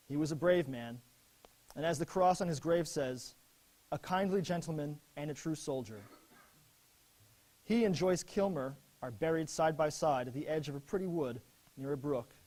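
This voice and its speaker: Man acting earnest grieving officer, higher-pitched voice. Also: a little mellow, lightly acted. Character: young American army officer, earnest, grieving, writing letters home. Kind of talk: dramatic reading of wartime letters.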